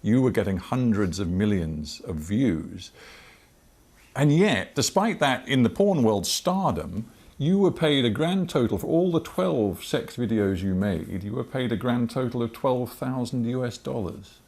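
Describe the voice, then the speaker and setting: An older man with a British accent conducting a broadcast interview, asking measured, deliberate and probing questions. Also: medium pitch, expressive, darkish tone. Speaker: television interviewer.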